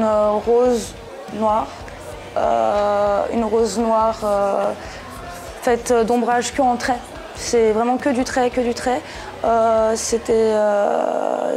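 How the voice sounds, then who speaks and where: A twenty-year-old woman from Portugal, talking casually and hesitantly in an interview, full of fillers and restarts. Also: mid-range voice. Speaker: interviewee, tattoo shop piercer.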